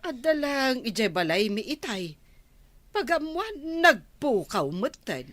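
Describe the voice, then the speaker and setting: A woman's voice: high-pitched, sing-song, takes it slowly, a bit bright, no echo, full character voice. A woman with an Ilocano accent playing a worried, nagging village captain's wife in an audio drama.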